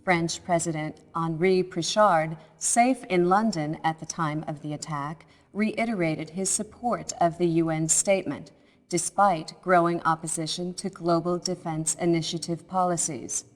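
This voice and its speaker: An actress playing a serious television news anchor in a game cutscene, reading a formal report in measured phrases. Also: female voice, lowish voice, steady tone, long reverb tail, close to an everyday voice. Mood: sober, formal.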